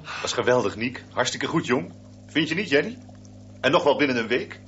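A man's voice: mid-range voice, expressive, a little fast, fairly bright tone, muffled recording, slight background noise, lightly acted. An actor in a Dutch radio drama playing a mild middle-aged father who reasons protectively and reassures. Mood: pleased. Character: mild, placating, protective father of a teenage boy.

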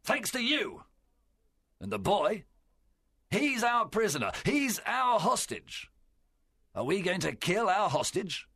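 Fierce storybook pirate captain: bright, forceful rasp, wildly swooping, commanding and cunning. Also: male voice, high-pitched, no room sound, studio quality, voice pushed up, full character voice. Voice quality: strained, gravelly rasp. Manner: theatrical, commanding, drawn-out delivery. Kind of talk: dramatized audiobook character voice.

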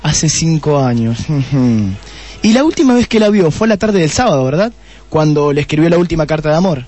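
Man acting high-voiced, probing Argentine police detective. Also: sing-song, dark tone, no room sound, faint music behind. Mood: probing, insinuating. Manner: deliberate, repeats answers back pointedly. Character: shrewd male police detective, testy, methodical, probing. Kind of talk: Spanish-language radio play acting.

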